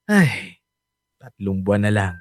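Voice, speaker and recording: Easygoing young Filipino husband, low-pitched. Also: male voice, very animated, slow. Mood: tender. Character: doting, easygoing young husband and expectant father. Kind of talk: Filipino radio drama acting. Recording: music behind the voice.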